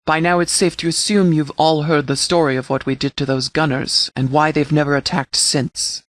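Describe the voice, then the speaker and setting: Male actor as stern militia sheriff, video game dialogue, measured delivery, fairly high male pitch, dry close sound. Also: fairly even intonation, a bit bright, dry recording, close to an everyday voice. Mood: measured, formal. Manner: measured, formal, authoritative. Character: stern, formal sheriff commanding a post-apocalyptic settlement militia. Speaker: sheriff giving briefings.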